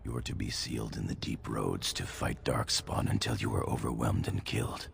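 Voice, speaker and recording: Gravel-deep loyal dwarf knight. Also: male voice, steady tone, moderate pace, heavily acted. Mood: grave concern. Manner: grave, formal, dutiful. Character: loyal, sombre male dwarf knight, second to a noble. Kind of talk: fantasy video game acting. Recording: game dialogue over noisy ambience.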